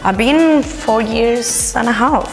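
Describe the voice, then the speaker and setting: Colombian-accented woman with a creaky voice. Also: on the high side, takes it slowly, big-room sound, music in the background. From Bogota, Colombia. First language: Spanish. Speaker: guest and home cook. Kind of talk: casual cooking demonstration and personal storytelling.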